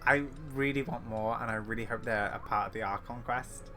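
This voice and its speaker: A man's voice: medium pitch, fairly quick, pushed and bright, faint music behind. A man giving casual, enthusiastic let's-play commentary as a gaming YouTuber.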